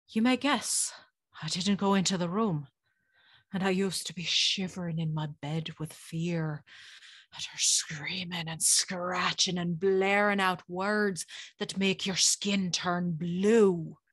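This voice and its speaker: A woman playing a haunted former servant, telling a gothic tale in a grave, deliberate, breathy voice. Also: lowish voice, fairly bright tone, no room sound, clean studio sound, exaggerated voice. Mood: fearful intensity. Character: haunted woman recounting terrors of her days in service. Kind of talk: gothic first-person dramatic storytelling.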